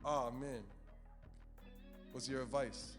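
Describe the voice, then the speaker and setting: A man's voice: medium pitch, level tone, slow, in a large hall, over music. A man co-hosting a radio show, reading a listener's letter aloud and dropping slangy asides. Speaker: radio co-host.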